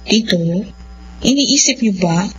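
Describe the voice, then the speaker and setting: A woman's voice: on the high side, animated, measured pace, a little hiss or hum. A woman playing a caring, respectful young woman with a Filipino accent in a radio drama. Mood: polite, uneasy. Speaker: drama voice actor. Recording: dull audio, humming, music underneath.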